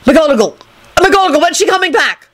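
A woman's voice: low-pitched, very animated, normal speed. A woman voicing a frantic, sardonic adult male wizard in a storybook, swearing and pleading. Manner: frantic, sweary, muttering or hollering.

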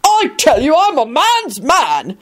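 A woman's voice: high voice, huge pitch swings, slow pace, pitched above the performer's own voice, heavily acted. A woman voicing a pompous, blustering older man dressed up as a wizard headmaster. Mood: indignant. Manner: bellowing, indignant, blustery.

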